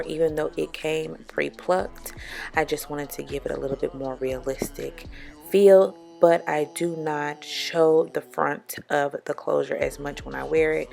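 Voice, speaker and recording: A young woman talking through a wig review and bleaching tutorial step by step, her voice raspy as she gets over being sick. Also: deep voice. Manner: steady explaining, step-by-step with fillers. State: raspy from recovering illness. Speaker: beauty vlogger.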